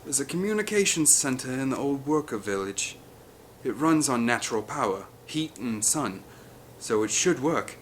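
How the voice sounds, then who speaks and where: A man voicing a grave, knowing expedition leader in an audiobook, with a measured, ominous delivery. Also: mid-range voice, sing-song, a little hiss or hum, close to an everyday voice.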